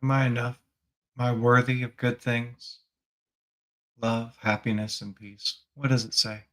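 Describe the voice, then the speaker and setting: A man leading a guided spiritual meditation as a teacher, gently prompting the listener with reflective questions. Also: mid-range voice, little pitch movement, measured pace.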